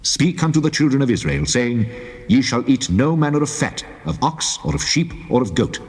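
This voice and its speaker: A man playing the Lord God as a solemn, commanding lawgiver, declaiming in measured, resonant phrases in a dramatized scripture reading. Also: mid-range voice, sing-song, breathy edge, faint music behind. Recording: long cavernous reverb.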